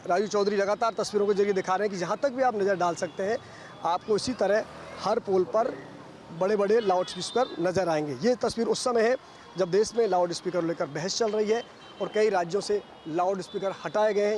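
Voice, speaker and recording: A high-pitched man reports live as a TV field correspondent, explaining and repeating points as he goes. He is outdoors, with faint traffic and street noise behind him. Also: a little mellow. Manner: repetitive, explanatory. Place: outdoors in a busy market street.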